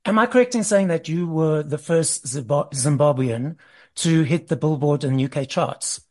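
Higher-voiced male interview host. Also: moderate pace, a little mellow. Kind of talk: scripted intro then interview questions.

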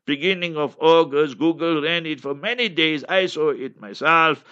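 Bright, forceful, high-pitched man preaching politics. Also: normal speed. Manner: emphatic, blunt, scornful; acts out quotes and rhetorical questions. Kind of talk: fiery political commentary with religious quotations. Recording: dull lo-fi audio.

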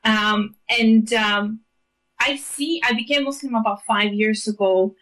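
Woman, thirties, slightly high pitch, chatty, filler words, radio guest. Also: a little slow, rough recording. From Greece and Bulgaria. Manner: chatty, warm laughs, many fillers. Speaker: guest, travel blogger. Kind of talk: radio interview.

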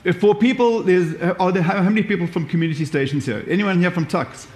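Echoey, higher-pitched South African man presenting. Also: fast, a little mellow. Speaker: presenter of a radio workshop. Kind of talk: informal live presentation with examples. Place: echoey room, live event. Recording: echoey room sound.

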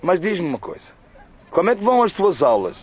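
A man's voice: high voice, big pitch swings, fairly quick, slightly rough, a faint crowd, heavily acted. An actor playing a worried middle-aged father who is hiding from the police, talking to his son in a radio drama.

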